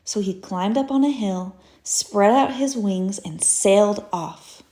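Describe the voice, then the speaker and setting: Casting: female storybook reader, very unhurried, expressive, deliberate phrasing, recorded in an echoey room. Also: medium pitch. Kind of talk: children's story read-aloud. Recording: roomy echo.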